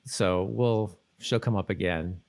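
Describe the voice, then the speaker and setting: A man hosting a podcast in casual chat, talking in a halting, rambling way and often correcting himself. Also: low voice, creaky, a little mellow. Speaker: podcast host.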